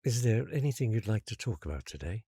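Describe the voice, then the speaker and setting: An actor playing a gentle, encouraging therapist in an audio drama, patient and reassuring. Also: medium pitch, very animated, fast talker, breathy edge, dry recording, studio quality, exaggerated voice. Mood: encouraging, inquiring.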